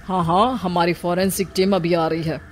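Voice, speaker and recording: A woman voicing a methodical senior male police officer who questions people officially in an animated moral story. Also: fairly low pitch, moderate pace, lightly acted. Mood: official, firm.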